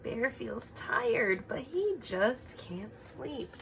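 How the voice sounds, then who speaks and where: A woman teaching young children through an expressive read-aloud, prompting them with frequent simple questions. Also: on the high side, very slow, gravelly, a little hiss or hum.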